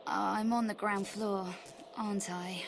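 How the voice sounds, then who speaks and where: An actress playing a cool, tough adventurer in a video game, speaking in a dry, curt, unflappable way. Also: female voice, medium pitch, fairly even intonation, a little slow, creaky, background noise. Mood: pained, wry. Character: cool, tough female adventurer, dryly sarcastic. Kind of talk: video game cutscene dialogue.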